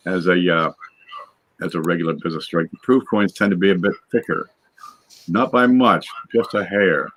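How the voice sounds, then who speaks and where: A man's voice: low-pitched, fairly bright tone, rough recording. A man hosting a casual panel Q&A. He reads viewer questions aloud and explains things in a chatty way, with fillers and restarts. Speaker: show host.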